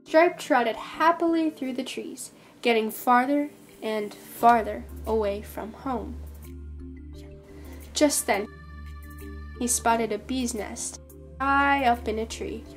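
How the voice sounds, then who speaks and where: A woman reading a children's story expressively, with careful, measured phrasing. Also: on the high side, faint music behind. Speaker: volunteer storybook reader.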